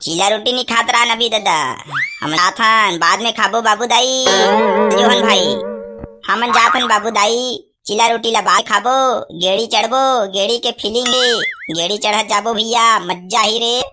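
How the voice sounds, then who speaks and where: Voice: medium pitch, steady tone, unhurried, music in the background, close to an everyday voice. An actor playing a cheeky, eager village boy in a cartoon comedy, impatient and argumentative, with a Chhattisgarhi accent. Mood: excited.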